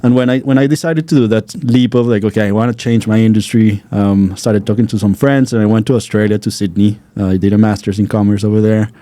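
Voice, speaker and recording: Man, colombian accent, native spanish speaker, low mellow voice, dry close sound, relaxed and hesitant. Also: fast talker, few pauses, dry recording. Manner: relaxed, hesitant, many fillers and restarts. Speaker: guest, general manager. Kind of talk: casual interview.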